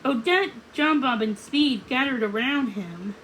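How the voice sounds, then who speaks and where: Female storybook reader: high, animated narration, slight background noise. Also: measured pace, poor audio. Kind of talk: expressive read-aloud narration.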